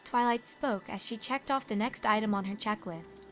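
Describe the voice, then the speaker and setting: A woman's voice: mid-range voice, moderate pace, soft background music. A woman reading scripted story narration as the narrator.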